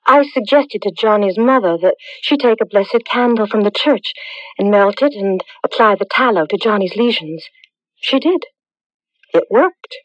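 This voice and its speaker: A woman's voice: medium pitch, no room sound, natural voice. An actress playing a proud, mysterious young village woman in a radio drama, formal and composed. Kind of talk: 1980s radio drama acting. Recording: dull lo-fi radio broadcast recording.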